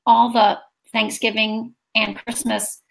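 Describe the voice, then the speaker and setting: A woman's voice: fairly high pitch, level tone, slow, gravelly, rough recording. A middle-aged woman, a guest author, talking earnestly in an interview. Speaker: guest, children's book author. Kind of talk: casual interview chat.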